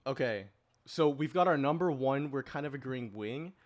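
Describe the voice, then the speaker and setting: A man's voice: medium pitch, animated, moderate pace. Man leading a casual group chat. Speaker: podcast host leading a group discussion.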